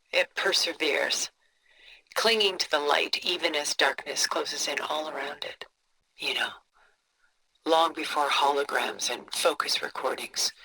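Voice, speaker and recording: An actress playing a refined, cultured, coolly composed older woman in a video game, speaking in a poised, deliberate, articulate way. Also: low-pitched, pushed and bright, breathy edge, exaggerated voice, rough recording. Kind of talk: scripted video game dialogue, art commentary.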